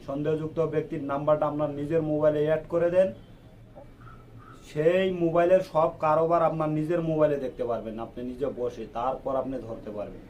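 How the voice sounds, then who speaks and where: Mellow-voiced man giving a phone tutorial. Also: fairly high pitch, quick pace, slight background noise, rough recording. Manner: repetitive, instructional, addressing viewers directly. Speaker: tutorial video host. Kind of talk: step-by-step phone app tutorial.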